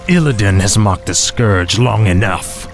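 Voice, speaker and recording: A man's voice: fairly low pitch, animated, measured pace. An actor playing a fallen undead death-knight king, giving stern, resolute commands. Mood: determined. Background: orchestral music.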